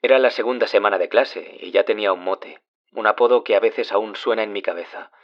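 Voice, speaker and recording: Actor as low-voiced, wounded young Spanish man. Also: brisk, dull audio, lightly acted. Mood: haunted. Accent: Spanish, from Spain. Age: young adult. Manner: confessional, dramatic storytelling. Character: wounded young man, former bullying victim, anguished, confiding. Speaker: interviewee telling his story. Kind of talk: scripted audio-drama monologue in interview form.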